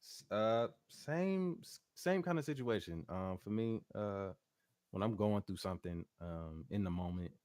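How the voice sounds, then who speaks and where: Deep-voiced relaxed singer-producer guest. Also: male voice, measured pace, clean tone, no room sound, studio quality. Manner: relaxed, hums melodies, laughs easily. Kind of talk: casual interview chat.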